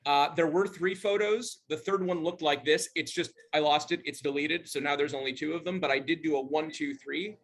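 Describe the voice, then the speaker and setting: A man's voice: on the high side, steady tone, moderate pace, hardly any pauses, a bit bright. A middle-aged man, casually telling a personal story on a video call. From Montreal, Canada. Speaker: club member telling a personal ghost story.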